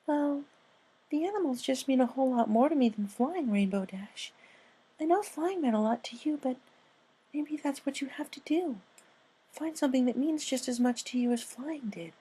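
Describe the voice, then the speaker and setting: A man voicing a gentle, caring female pegasus pony, speaking in a quiet, tentative and kindly way for a fan story. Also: very high voice, animated, normal speed, breathy edge, voice pushed up, exaggerated voice. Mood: gentle concern. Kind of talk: character voice in fan fiction reading.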